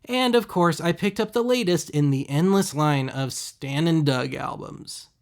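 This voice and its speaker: A man hosting a web series, giving casual, deadpan, wry voice-over commentary on thrift finds. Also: on the high side, a little slow. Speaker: host of a web video series.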